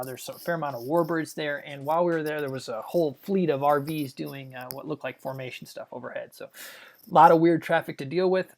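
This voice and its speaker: A man narrating a flight video as a test pilot, in an unscripted, technical explanatory style with halting pauses. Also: a little high, creaky.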